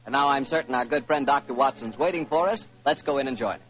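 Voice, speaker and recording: A man working as a polished radio announcer and host, delivering scripted introductions and sponsor pitches in 1940s style. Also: quick pace. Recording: dull 1940s radio recording.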